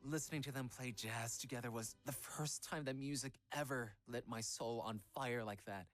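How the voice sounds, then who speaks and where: A man voicing a gentle, earnest young pop idol in a visual novel game, soft-spoken and a little hesitant. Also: medium pitch, normal speed, soft background music, close to an everyday voice. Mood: wistful, nostalgic. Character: gentle young male Korean pop idol, devoted fiancé.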